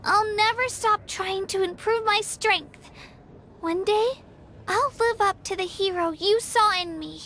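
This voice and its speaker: A woman's voice: a little slow, a bit bright, slight background noise, heavily acted. A woman playing a small, brave and humble young girl in a video game, earnest and sincere, with a childlike voice. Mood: wistful, earnest. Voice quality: high, childlike. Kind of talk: dramatic video game acting.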